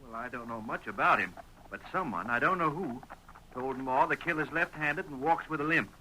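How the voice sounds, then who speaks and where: Actor as forceful, earnest young western sheriff. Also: male voice, medium pitch, normal speed, natural voice. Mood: grimly determined. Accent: American. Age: young adult. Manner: folksy, dogged. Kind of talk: 1940s radio western acting. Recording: faintly noisy old radio recording.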